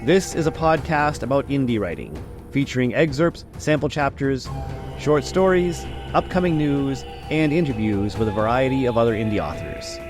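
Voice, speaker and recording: A man's voice: medium pitch, music in the background. A man hosting a podcast interview, reading a prepared introduction and then talking loosely with fillers. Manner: reads a scripted intro, then chats with pauses and fillers. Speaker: podcast host.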